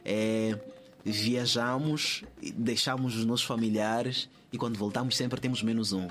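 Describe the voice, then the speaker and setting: A man's voice: mid-range voice, steady tone, unhurried, a bit bright. Male interview guest, Mozambican accent, mid-range voice, conversational, thinks aloud with repeated phrases.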